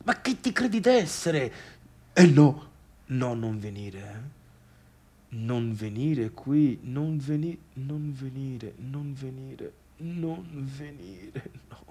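A man's voice: mid-range voice, expressive, takes it slowly, dark tone, husky, exaggerated voice. A man playing a bitter adult son in a theatrical monologue, intense and reproachful, at times sinking to a near-murmur. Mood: agitated, pleading. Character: tired, bitter adult son confronting his overbearing father.

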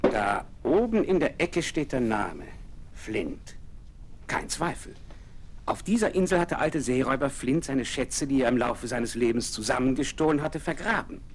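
A man's voice: mid-range voice, expressive, moderate pace, slight background noise, lightly acted. An actor playing an eager, self-assured nobleman who makes plans and gives orders with enthusiasm. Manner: commanding, enthusiastic planner.

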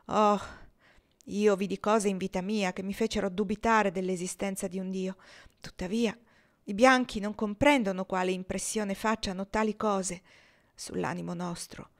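A woman's voice: mid-range voice, steady tone, moderate pace, close to an everyday voice. A woman voicing a desperate young husband who pleads and turns bitter, in a read-aloud story.

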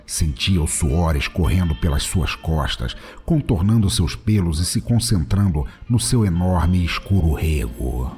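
A man's voice: low-pitched, animated, unhurried, hardly any pauses, darkish tone, faint music behind. A man reading scripted narration for an audio drama.

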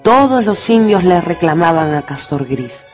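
A man's voice: fairly high pitch, big pitch swings, measured pace, over music. A man narrating a radio novel in a scripted, dramatic storytelling style.

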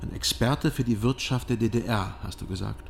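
An actor playing a dry, guarded investigator in a radio crime drama, speaking tersely and understated. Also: male voice, fairly low pitch, a little fast, gravelly, slight background noise. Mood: coaxing.

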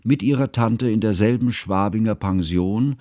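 An actor playing an earnest, scholarly friend who narrates a composer's life in formal, measured phrases for a radio drama. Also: male voice, lowish voice, dark tone, no room sound, studio quality, lightly acted. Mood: reflective.

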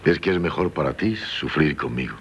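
An actor playing a despairing, self-loathing but tender husband in a radio drama, with a Spanish accent from Spain and halting delivery. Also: male voice, a bass voice, lively intonation, muffled recording. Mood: anguished. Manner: halting, repeats words, dramatic pauses. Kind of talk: 1970s radio drama acting. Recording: noisy 1970s radio broadcast recording.

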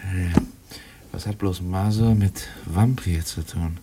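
An actor playing an earnest, impatient investigator in a German radio play, muttering urgently. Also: male voice, low-pitched, slow pace, background noise. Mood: puzzled, intent. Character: earnest, impatient male investigator digging through old files.

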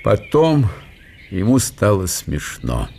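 Guy narrating a literary radio play, deep-ish and mellow. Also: huge pitch swings, slow pace, creaky, slight background noise.

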